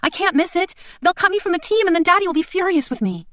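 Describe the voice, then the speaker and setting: A narrator doing a high-pitched scared young girl. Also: animated, quick pace, a bit bright, dry recording, clean studio sound. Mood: anxious. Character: young girl, frightened and pleading.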